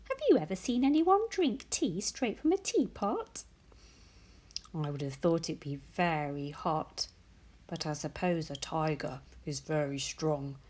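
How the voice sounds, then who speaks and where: A woman reads a children's storybook aloud in a slow, breathy voice. She is expressive, moving widely in pitch, and speaks directly to young listeners with questioning asides. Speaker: teacher reading a picture book aloud.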